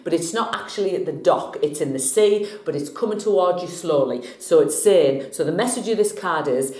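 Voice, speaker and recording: Brief: middle-aged woman, tarot vlogger, lowish voice, a bit scratchy from a cold, echoey room. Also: darkish tone. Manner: chatty and jokey, laughs often. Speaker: vlog host, tarot reader. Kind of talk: casual tarot teaching vlog.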